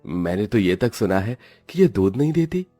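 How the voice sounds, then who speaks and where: A man voicing a poor, kind village milkman and father in a cartoon story, speaking gently and humbly. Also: lowish voice, big pitch swings, normal speed, faint music behind.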